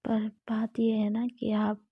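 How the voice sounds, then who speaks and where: A woman's voice: fairly high pitch, monotone, slow pace, breathy edge, dry recording, highs cut off, exaggerated voice. A woman whispering the part of a playful, teasing young woman lover in a romantic story. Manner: whispered throughout.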